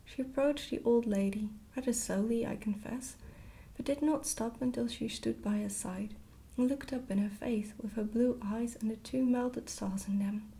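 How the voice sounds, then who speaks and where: A woman gently reading a storybook aloud in a hushed, whispered ASMR style. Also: fairly high pitch, moderate pace, gravelly, breathy edge. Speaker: storybook reader, ASMR creator.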